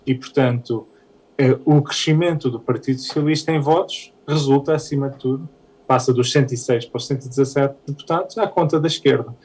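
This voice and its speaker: A man's voice: medium pitch, fairly quick, darkish tone, breathy edge. Male politician from Canada, mid-range voice, analytical post-election commentary with frequent hesitations. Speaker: politician interviewee.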